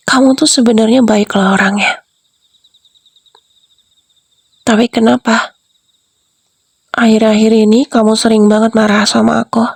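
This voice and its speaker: A woman's voice: on the high side, no echo. A woman voicing a sinister young wife who whispers and giggles as she taunts the husband she has drugged. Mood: reproachful. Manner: soft, teasing, breathy whispers with giggles. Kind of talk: whispered roleplay audio drama.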